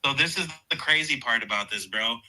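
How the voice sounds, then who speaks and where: A man talking casually and excitedly, explaining things insistently and repeating himself to get his point across. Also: mid-range voice, a little fast, low-quality recording.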